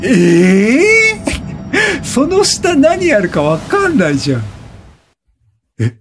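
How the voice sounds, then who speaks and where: Animated high-voiced man commentating a game. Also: faint music behind. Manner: exclamatory, repeats words in rapid bursts; laughs often while talking. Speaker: let's play host. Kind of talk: excited gameplay commentary.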